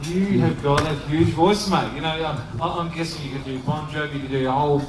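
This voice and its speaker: A man's voice: fairly high pitch, steady tone, brisk. A man judging a live talent show, giving enthusiastic, matey feedback. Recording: echoey hall, distant mic, crowd.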